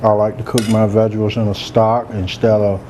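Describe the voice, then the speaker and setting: Soft-spoken man, mellow voice. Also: lowish voice, fairly quick, slight background noise. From Georgia, US. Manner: soft-spoken, relaxed and chatty. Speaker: cooking show host, chef. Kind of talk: casual cooking demonstration. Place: large room with a live audience.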